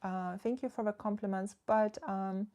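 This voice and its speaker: A woman's voice: medium pitch, steady tone, normal speed, mellow. A woman from the Netherlands, a relationship coach, chatting casually on a livestream, reading viewers' comments and giving direct advice.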